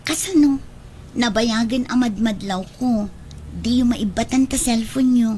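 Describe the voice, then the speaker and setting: A woman plays an anxious young daughter in a radio drama, her voice a little high. She questions and pleads in a prying way, over faint background noise. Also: measured pace, mellow, natural voice. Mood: probing, pleading. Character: anxious, nosy young adult daughter wary of her father's romance. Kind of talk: amateur-style radio drama acting in Ilocano.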